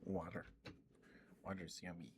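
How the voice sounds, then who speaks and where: A man with a fairly low voice hosts a casual ASMR stream. He talks close to the microphone in a loose, rambling way. He addresses his viewers affectionately, often with pet names. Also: flat delivery, measured pace, heavy background noise.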